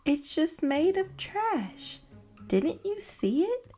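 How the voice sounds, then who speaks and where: A woman's voice: extremely high pitch, very animated, takes it slowly, warm tone, soft background music, voice pushed up, full character voice. A woman voicing a shy, self-doubting young girl inventor in a dramatic storybook reading. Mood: dejected.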